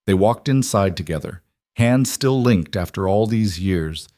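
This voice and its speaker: A man narrating a scripted story in a measured, even way. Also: on the low side, no echo, studio quality.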